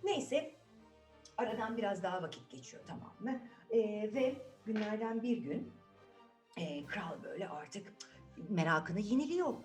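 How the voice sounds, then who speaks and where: A woman telling a fairy tale to children in an animated, conversational way, often addressing them directly. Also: mid-range voice, a little slow, soft background music. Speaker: children's storyteller.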